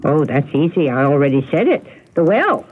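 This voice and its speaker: Sweet, wistful, flustered lady, slowish and swooping, exclaiming, radio mystery drama, thin noisy audio. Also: low-pitched, very animated, phone-quality sound. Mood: nostalgic, delighted. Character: sweet, flustered, nostalgic woman recalling childhood.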